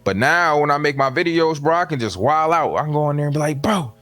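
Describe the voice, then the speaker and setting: A man with a naturally deep voice, a guest on a stream, talking casually and getting animated. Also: fast talker, no echo, faint music behind. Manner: animated, voice rises when excited. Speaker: guest YouTuber.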